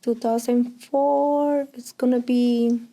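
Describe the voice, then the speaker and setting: Slow-talking Colombian woman, higher voice. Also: fairly even intonation, background noise. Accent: Colombian Spanish. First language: Spanish. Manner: hesitant, many fillers, laughs often. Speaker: interviewee, preschool Spanish teacher. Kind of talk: casual interview.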